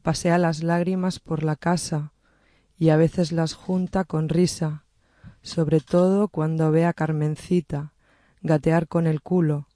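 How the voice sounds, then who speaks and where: A speaker reciting a poem in a measured, soft-spoken way. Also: mid-range voice, level tone, dry recording, clean studio sound. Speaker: poetry reader. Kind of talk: slow poetry recitation.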